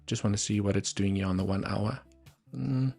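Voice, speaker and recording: A man's voice: lowish voice, normal speed, vocal fry, warm tone, soft background music. A man with a South African accent and Afrikaans as his first language, hosting a live trading stream and thinking aloud over the charts with muttered asides. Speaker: livestream trading host. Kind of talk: live market analysis commentary.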